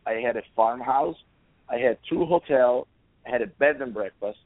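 A man from Italy with an Italian accent and a hoarse, worn voice, answering interview questions in a rambling way. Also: medium pitch, moderate pace, no echo. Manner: rambling, restarts, listing. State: hoarse, losing his voice. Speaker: guest. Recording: dry phone line.

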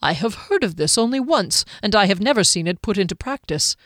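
A woman voicing a calm, inquisitive male mage with measured, formal wording in a fantasy storybook. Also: medium pitch, no echo, studio quality, close to an everyday voice. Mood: intrigued.

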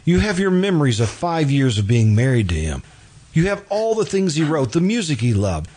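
Man acting an earnest, brooding lovesick man, swooping pitch. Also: big pitch swings, darkish tone. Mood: urgent pleading. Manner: halting, pleading, repeats himself. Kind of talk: old-time radio drama acting. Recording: noisy amateur radio drama recording.

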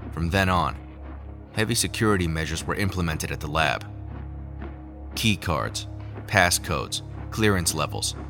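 A man playing a haunted lab scientist, narrating a scripted audio drama in a measured, brooding way. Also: a bass voice, bright, forceful tone, full character voice. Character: haunted male lab scientist recounting secret experiments. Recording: music under the voice. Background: music.

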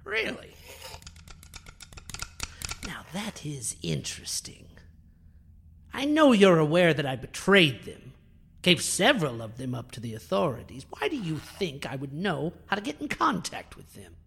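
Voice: medium pitch, wildly swooping pitch, unhurried, gravelly, long pauses, fairly bright tone, breathy edge, heavily acted. An actor playing a proud, bitter alien scientist in a sci-fi audio drama, speaking in a haughty, theatrical, precise and formal way. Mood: haughty, intrigued. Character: proud, bitter alien scientist who builds biohybrid creatures. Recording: music under the voice.

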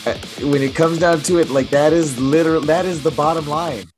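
A man co-hosting a podcast in casual chat, his voice raspy from a weekend of yelling, rambling and trailing off into mumbles. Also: fairly high pitch, steady tone, fairly quick, over music. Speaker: podcast co-host.

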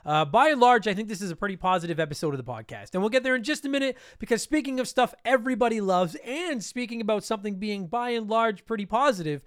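A high-pitched man rattles through his podcast intro at a quick clip, sounding stuffed up from allergies. His voice is clean and smooth, recorded dry and close on a podcast mic, with a loose, rambling, profane way of talking. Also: lively intonation, no echo. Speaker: podcast host. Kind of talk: casual podcast intro monologue.

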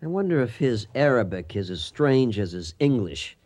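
A man playing a brash, impatient archaeologist's assistant in a radio drama, with a brisk, informal, wry delivery. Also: medium pitch, sing-song, a little mellow, slight background noise, close to an everyday voice.

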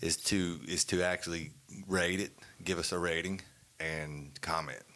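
Deep-voiced halting man. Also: level tone, sharp tone. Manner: halting, restarts words. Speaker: podcast co-host. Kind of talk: casual chat.

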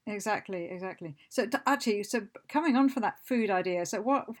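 A woman's voice: medium pitch, fairly quick. A woman hosting an interview, encouraging and laughing often, who says she is losing her voice. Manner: encouraging, laughs often, short agreeing replies. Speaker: interviewer, host. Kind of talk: casual interview.

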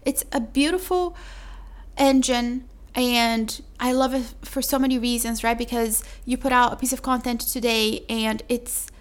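A woman hosting her own podcast, talking chattily to the listener with a mellow voice and calling them "my love.". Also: on the high side, steady tone, measured pace. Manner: chatty, calls listener "my love", says "like" often. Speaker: podcast host. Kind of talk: solo podcast sales pitch.

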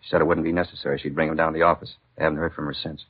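A steady police partner in a fifties radio drama. The voice is extremely low and husky, rattling along in plain, polite speech. The recording is old and muffled. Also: male voice, very fast, heavily acted. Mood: businesslike. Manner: plain-spoken, matter-of-fact. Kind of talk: 1950s radio acting. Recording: dull 1950s radio recording.

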